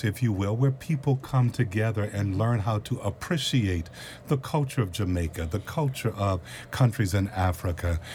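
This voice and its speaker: Pastor, male, low voice, slow measured delivery, faint noise. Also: a little mellow. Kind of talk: panel discussion.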